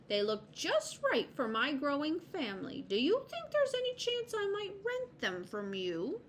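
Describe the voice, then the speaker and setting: A woman's voice: high-pitched, wildly swooping pitch, measured pace, a little hiss or hum, voice pushed up, full character voice. A woman voicing a courteous, eager, kindly male squirrel in a children's storybook, polite and reassuring. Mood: polite, hopeful.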